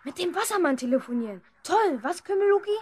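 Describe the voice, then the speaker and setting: Boastful older schoolboy ringleader, 1970s radio play; shrill-high, animated, pushy. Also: fast. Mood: bossy, scheming. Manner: bossy, goading. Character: cocky older schoolboy prankster, bossy and boastful. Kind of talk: 1970s German children's radio play acting.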